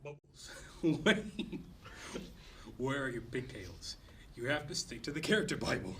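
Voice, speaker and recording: A man's voice: medium pitch, big pitch swings, measured pace, a bit bright. A man voicing a smug, controlling manager of superhero girls in a comedic table read. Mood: condescending. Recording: slightly distant mic, faint noise.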